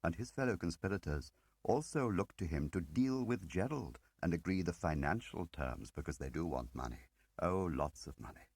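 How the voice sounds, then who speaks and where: A man plays an ageing spymaster in an audiobook, with a low voice. He is measured and quietly relentless, laying things out in deliberate phrases and probing questions. The sound is very dry. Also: lively intonation, breathy edge, no echo, heavily acted. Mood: measured, explaining. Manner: deliberate, pausing interrogator with rhetorical questions. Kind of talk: dramatic audiobook character reading.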